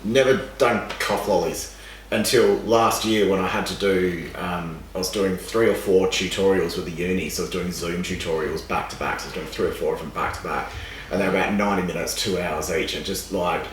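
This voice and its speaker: A young man talks in a deep, raspy, dry voice that sounds worn and close to giving out. He rambles and swears casually as a podcast guest. The sound is echoey and distant, with a faint hiss behind. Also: fairly even intonation, fairly quick. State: losing his voice, raspy. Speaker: podcast guest, exercise scientist. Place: room with a seated podcast setup. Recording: echoey room, distant mic, faint noise.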